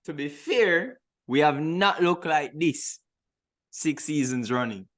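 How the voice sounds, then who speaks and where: A man's voice: on the high side, expressive, slow, a bit bright. Emphatic man chatting football, slangy. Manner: emphatic, slangy, repeats words for stress. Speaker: podcast co-host. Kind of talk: casual football chat.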